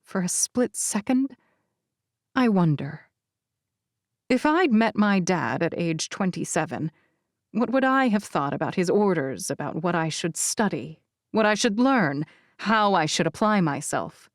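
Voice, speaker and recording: Female audiobook narrator for first-person fiction. Lower-pitched, very animated intonation, measured delivery. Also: no echo, clean studio sound. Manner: expressive, deliberate phrasing.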